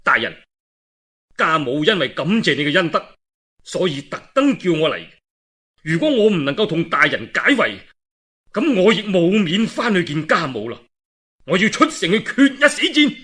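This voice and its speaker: A brave, loyal male warrior, acted by a man telling the tale, speaks with a Cantonese accent. The voice is forceful and swoops widely, with a husky edge. He is urgent, forthright and respectful, in the manner of a historical storybook hero. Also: sits high, big pitch swings, normal speed, long pauses, full character voice. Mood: urgent, determined. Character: brave, earnest young warrior, loyal and bold.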